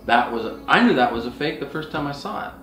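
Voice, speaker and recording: A man's voice: mid-range voice, animated, quick pace, a bit bright. A middle-aged man talking casually and earnestly in a rambling, conversational storytelling way. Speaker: outdoor outfitter and Sasquatch researcher. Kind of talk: casual rambling anecdotal talk. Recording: roomy echo, distant mic, faint music.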